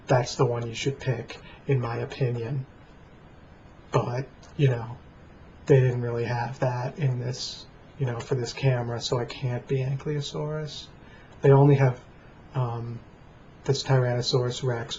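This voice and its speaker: An American man rambling casually and deadpan to camera in a plain, flat voice. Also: mid-range voice. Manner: deadpan, dry. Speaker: vlogger. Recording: dull lo-fi webcam sound, faint noise.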